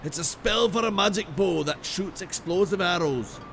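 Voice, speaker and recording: A man's voice: sits high, moderate pace, gravelly, fairly bright tone, soft background music. A man playing a blunt, foul-mouthed rustic rebel scout in a video game. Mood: gruff, scheming. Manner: folksy, coarse, blunt speech. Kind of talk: video game character acting.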